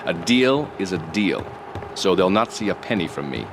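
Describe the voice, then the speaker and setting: A man voicing a suave, scheming rich tycoon who speaks in a calm, polished, dryly ironic way. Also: deep voice, normal speed, noisy background. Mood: smooth.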